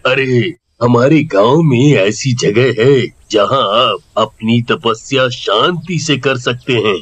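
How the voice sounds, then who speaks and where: A respectful village headman in a horror audio story acted by a man. He talks very slowly at an ordinary male pitch, earnest and deferential. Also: breathy edge. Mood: helpful.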